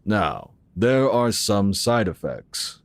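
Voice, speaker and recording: A calm, assured founder in a sci-fi audio drama. His voice is low and swings expressively as he explains things in measured phrases, recorded close and dry. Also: dry recording, heavily acted. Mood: explanatory, assured. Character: calm, assured male founder of a futuristic tech organization. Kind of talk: scripted audio drama acting.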